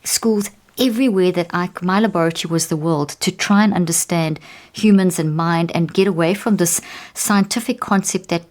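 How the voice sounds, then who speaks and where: A woman's voice: on the low side, moderate pace, a little mellow. A middle-aged woman with a South African accent, a neuroscientist telling stories in a podcast interview. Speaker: neuroscientist guest.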